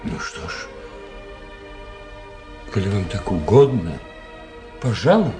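Casting: teasing, observant doctor for radio play; unhurried, swooping intonation, theatrical pauses. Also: male voice, on the low side, sing-song, music in the background. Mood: wry consent. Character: wry adult male doctor, observant and teasing. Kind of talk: Russian radio drama acting.

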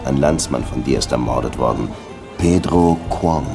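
A man's voice: very deep voice, normal speed. A man playing a world-weary police detective from Cuba, narrating his case in a radio drama. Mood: matter-of-fact. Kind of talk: radio play narration and dialogue. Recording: music under the voice.

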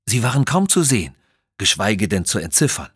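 A man narrating a scripted audio adventure story in an expressive, dramatic storytelling manner. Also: on the low side, brisk, husky, no room sound, studio quality.

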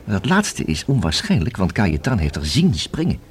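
An actor playing a dry, deliberate, skeptical police detective in a Dutch radio play. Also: male voice, lowish voice, wildly swooping pitch. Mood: dry, pondering. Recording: noisy old radio play recording.

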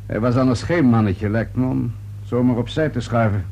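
An actor playing a probing, patient police inspector in a radio play, with terse, dry questioning. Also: male voice, medium pitch, normal speed, creaky, warm tone, dull audio. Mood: pressing, dry.

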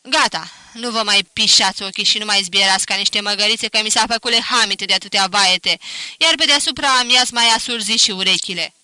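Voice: medium pitch, sharp tone, smooth, dry recording, poor audio. A reader playing a snapping stern grandmother. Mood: scolding. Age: older. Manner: scolding, snapping. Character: stern old grandmother, sharp-tongued.

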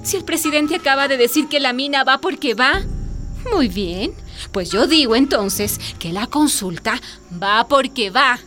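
A woman's voice: high voice, lively intonation, a little slow, pushed and bright, faint music behind, full character voice. An actress playing a stubborn, outspoken village mother in a radio drama, worried and insistent. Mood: defiant.

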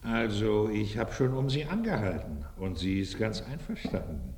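A man's voice: lowish voice, expressive, normal speed, darkish tone, close to an everyday voice. An actor in a radio play voicing a persuasive, deferential estate steward, pragmatic and matter-of-fact in his coaxing. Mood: reporting.

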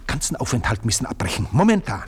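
A man's voice: sing-song, quick pace, slight background noise, lightly acted. A man playing a scheming servant. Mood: insistent. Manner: clipped, officious, bending pitch sharply. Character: scheming, self-assured male household servant. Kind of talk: radio play acting.

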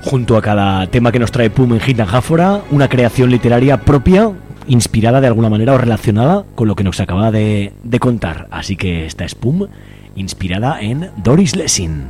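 Spanish male radio host, lively intonation. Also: mid-range voice, brisk, faint music behind. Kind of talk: radio chat and introductions.

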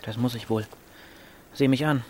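A man's voice: medium pitch, normal speed, natural voice. A teenage boy, played by a man, restless and defiant, with a mid-range voice. He is pragmatic, in radio-play style, with faint ambient noise behind him. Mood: guarded, urgent. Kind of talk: German radio drama acting.

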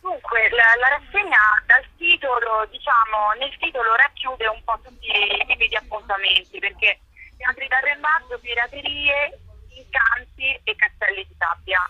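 A woman's voice: fairly high pitch, moderate pace, bright, forceful tone, husky, no room sound, lo-fi sound. A woman giving an interview as a guest, explaining an arts programme in an articulate way. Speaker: interview guest, festival curator and performer.

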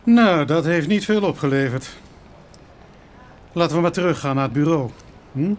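An actor playing a sceptical, businesslike police inspector in a radio drama, with a dry, formal way of reasoning. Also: male voice, a little high, moderate pace, a little hiss or hum, natural voice.

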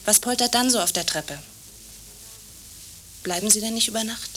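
A woman's voice: fairly high pitch, moderate pace, fairly bright tone, a little hiss or hum, lightly acted. A woman playing an anxious, clinging, lovestruck landlady in a naturalistic radio drama, insistent and repeating her short phrases. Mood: probing.

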